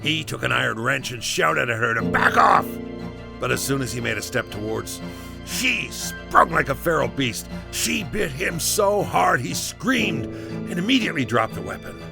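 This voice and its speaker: An actor playing a rattled soldier telling a halting, conversational first-person story in an audio drama, with a gravelly voice. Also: male voice, mid-range voice, very animated, over music. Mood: shaken, intense. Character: rattled male battalion soldier recounting a disturbing morning.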